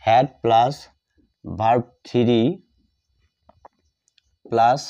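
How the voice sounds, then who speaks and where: A man with a Bengali accent teaching a grammar lesson step by step, dictating in short, halting pieces and repeating himself. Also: mid-range voice, flat delivery, dark tone. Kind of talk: step-by-step lesson, explaining while writing. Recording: dull, muffled lo-fi audio.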